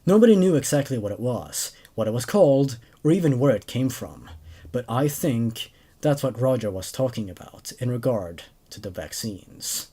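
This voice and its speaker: A man in his twenties with an expressive, emphatic way of talking, hosting horror stories. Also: medium pitch, moderate pace, slightly rough. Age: 20s. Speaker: host reading horror stories. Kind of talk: dramatic story narration, then casual commentary.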